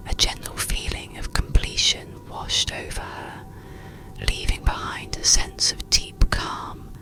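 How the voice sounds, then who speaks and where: A storyteller whispers a scripted bedtime tale in ASMR style. The voice is breathy and hushed, with a faint crackle in it. The phrasing is soothing and unhurried. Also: very high-pitched. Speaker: audiobook narrator.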